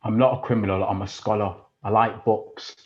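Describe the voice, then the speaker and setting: An actor playing a rough, foul-mouthed lad who mocks and threatens, in an audio drama with a slightly dull sound. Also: male voice, fairly low pitch, steady tone, moderate pace, natural voice. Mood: sneering. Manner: swearing, menacing, mocking. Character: rough working-class British boy, aggressive, crude, defensive. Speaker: actor in a stage play.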